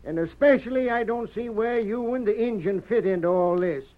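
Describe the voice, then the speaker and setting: Actor as high-pitched, blustery Old West sheriff. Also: male voice, normal speed, natural voice. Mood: doubtful, puzzled. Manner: folksy cowboy drawl and slang. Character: blustery, skeptical Old West sheriff, stubborn but fair. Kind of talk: old-time radio western acting. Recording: muffled vintage radio recording.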